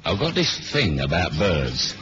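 A man's voice: low-pitched, wildly swooping pitch, unhurried, over loud music, exaggerated voice. A man playing a cocky, wry British spy who chases women, acting and narrating in a laid-back, slangy way in a 1970s radio drama. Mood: wry narration. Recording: dull, lo-fi old radio recording.

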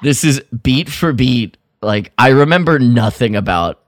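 A man co-hosting a podcast in casual review chat, halting as he thinks aloud and gives his opinions. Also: mid-range voice, expressive, no echo, clean studio sound. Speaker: podcast co-host. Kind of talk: casual review chat about a radio drama.

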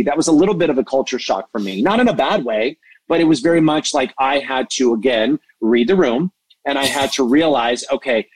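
Expressive, passionate man in a dry recording. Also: medium pitch, dry recording, rough recording. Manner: expressive, passionate, self-described hyper. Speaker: interview guest, entertainment media executive and mentor. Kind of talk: animated interview conversation.

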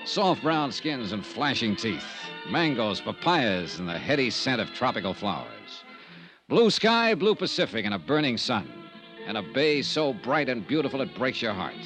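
A hard-boiled private investigator of old-time radio. He is shrewd and wry, with clipped, dramatic phrasing and a widely swinging, lively intonation. Music comes in behind him at times. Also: medium pitch, normal speed, poor audio. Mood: crisp, narrating. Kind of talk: 1950s radio detective drama, scripted narration and dialogue.

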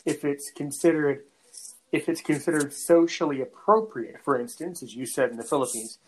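A man from North America hosting a podcast interview, speaking in a halting way and often repeating words before finishing a thought. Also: fairly high pitch, breathy edge, low-quality recording. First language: English; French is his second language. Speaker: podcast host. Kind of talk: conversational interview.

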